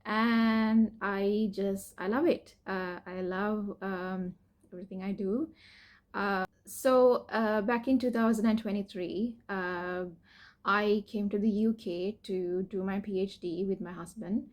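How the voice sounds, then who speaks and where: A young woman from Sri Lanka, a PhD student, explaining her cancer treatment and story in a candid personal vlog, hesitant, with many fillers and soft laughs. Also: medium pitch, steady tone, slow pace. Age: young adult.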